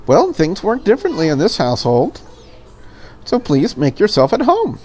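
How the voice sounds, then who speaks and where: A woman voicing a polite, deferential and curious maid in a visual novel, in a courteous, measured manner. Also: lowish voice, huge pitch swings, darkish tone, slight background noise. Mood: reassuring, courteous. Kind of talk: visual novel character read aloud.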